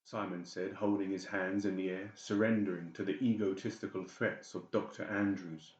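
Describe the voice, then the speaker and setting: A man's voice: deep voice, fairly even intonation, moderate pace, roomy sound, muffled recording. A man narrating a horror audiobook in a dramatic, scripted storytelling style.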